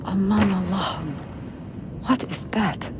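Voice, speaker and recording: An actor playing a wary, determined rebel fighter in a game cutscene, blunt and urgent. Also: male voice, high-pitched, slow, background noise. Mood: angry, urgent. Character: wary, hot-headed male rebel fighter, gruffly determined. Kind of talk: video game cutscene acting. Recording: long echo, music behind.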